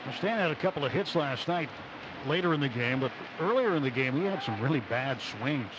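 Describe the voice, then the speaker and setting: A man's voice: mid-range voice, a little fast. A man giving conversational color commentary on a radio baseball broadcast. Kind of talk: conversational radio sports analysis. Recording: dull radio audio with ballpark noise. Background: steady ballpark noise.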